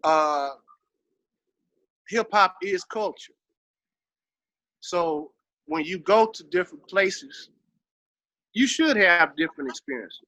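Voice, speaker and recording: A man with a high, forceful voice and a Texas drawl speaks casually as an interview guest. He is emphatic, salty and slangy, and the sound is close and dry. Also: animated, slow pace, long pauses, no room sound, poor audio. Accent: Southern US, Texas. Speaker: interview guest, hip-hop veteran.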